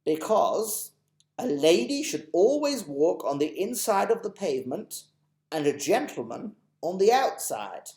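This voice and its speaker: Man voicing a gentle old-fashioned old gentleman, storybook tramp, proper and kind, lilting up and down, echoey room. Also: high voice, big pitch swings, measured pace, pitched above the performer's own voice, exaggerated voice. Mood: courteous.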